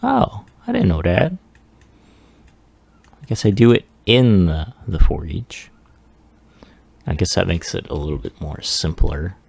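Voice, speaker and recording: A man giving a casual software demo walkthrough, offhand and self-deprecating as he thinks aloud. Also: low-pitched, big pitch swings, a little slow, gravelly, pauses often, breathy edge, no room sound. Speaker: 3D artist presenting a project.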